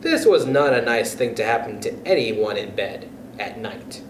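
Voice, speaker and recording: A man reading a children's story aloud as a storyteller, in an animated, expressive way. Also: medium pitch, moderate pace, distant mic. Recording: roomy echo with faint background noise.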